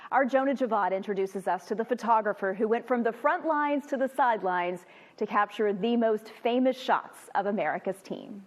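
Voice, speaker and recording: A woman's voice: a little high, normal speed. A woman news anchor reading a scripted introduction to a feature story.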